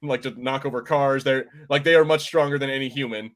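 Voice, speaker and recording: A man hosting a casual podcast discussion, explaining things in an informal, rambling and halting way. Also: mid-range voice, fairly even intonation, fairly bright tone. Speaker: podcast host. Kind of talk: casual pop-culture discussion, explaining game lore.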